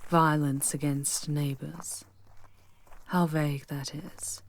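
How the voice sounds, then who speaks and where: Brief: female actor as a deep, unhurried demon guide, haughty and sardonic yet protective, for ASMR roleplay over noisy ambience. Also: steady tone. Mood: wry, amused. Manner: commanding, haughty, deliberate, slipping into whispers. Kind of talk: ASMR audio roleplay drama.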